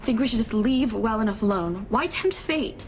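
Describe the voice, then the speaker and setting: Uneasy, high-voiced woman acting. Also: expressive, normal speed, soft background music, natural voice. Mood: uneasy, wary. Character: cautious, uneasy friend fearful of dark spirits. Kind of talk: soap opera acting. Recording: noisy ambient background.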